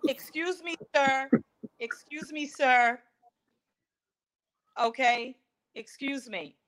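Sassy slow-talking woman in her forties. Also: sits high, a bit bright, low-quality recording. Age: 40s. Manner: sassy, scolding in fun. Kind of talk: casual banter on a podcast.

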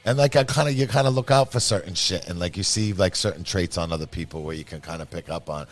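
A man chatting casually, telling animated, profane stories. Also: low-pitched, quick pace, soft background music. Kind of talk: casual chat.

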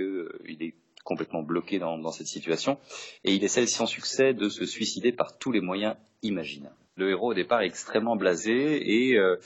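A man describing his favourite film in a measured way with many hesitations. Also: low-pitched, slightly rough, muffled recording. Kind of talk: recorded testimony about a film.

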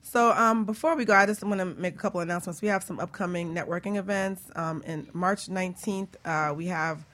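A woman's voice: lowish voice, steady tone, fairly quick, hardly any pauses, a bit bright. A woman hosting a radio talk show in a casual, chatty, informal way.

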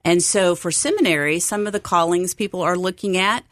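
A middle-aged woman from Texas talks as an interview guest. Her voice sits a little low for a woman and sounds upbeat and earnest. The sound is close and dry. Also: brisk, fairly bright tone, no echo. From Texas, United States. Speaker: interview guest, seminary site coordinator. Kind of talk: conversational radio interview.

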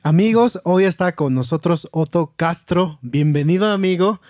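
A man's voice: a little high, normal speed, a little mellow, no echo, clean studio sound. A man hosting a casual podcast interview, friendly and full of slang. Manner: friendly, uses Mexican slang. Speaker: podcast host.

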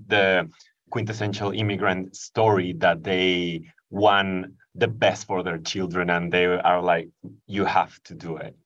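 Very deep-voiced man on a dull line. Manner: probing, thinking aloud. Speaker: producer and co-interviewer. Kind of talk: casual interview questions. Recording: dull lo-fi sound.

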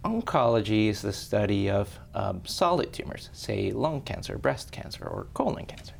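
A low, deeply gravelly male voice, a man from Ecuador who works as a hematologist. He explains blood disorders patiently and in a friendly way, leaning on examples. Faint noise sits behind him. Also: big pitch swings, moderate pace. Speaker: hematologist guest. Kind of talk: explanatory interview answers.

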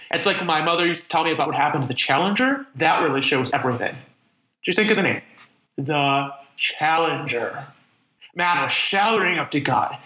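Male lone survivor, weary and reflective, higher-pitched bright voice, halting conversational monologue, radio drama. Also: roomy sound, poor audio. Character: lonely, weary, reflective male survivor wandering after disaster. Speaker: radio play actor. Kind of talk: dramatic monologue, spoken like an audio diary.

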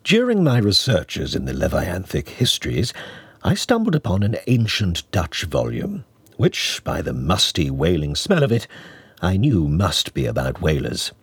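Low husky guy reading an old literary novel, really expressive, kinda mock-scholarly. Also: a little slow, no echo. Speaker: audiobook reader. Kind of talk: literary scripted narration of a classic novel.

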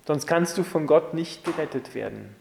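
A man delivering a sermon as a preacher, in an emphatic, rhetorical manner that swings between forceful and hushed. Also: fairly high pitch, lively intonation, normal speed, vocal fry, a little hiss or hum. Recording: long hall reverb.

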